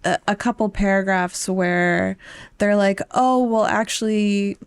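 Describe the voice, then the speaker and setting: A young woman co-hosting a podcast, chatting casually and haltingly, with lots of informal asides and restarts. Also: mid-range voice, steady tone, clean tone. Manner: informal, halting, filler-heavy "like". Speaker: podcast host. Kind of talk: casual, chatty book discussion.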